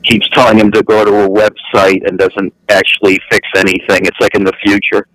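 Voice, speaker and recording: A man calling in to a radio talk show as a guest, talking in a halting way with many restarts. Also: on the low side, level tone, a bit bright, dry recording, low-quality recording. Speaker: guest, novelist. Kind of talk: casual remote chat. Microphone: calling in remotely, hard to hear others.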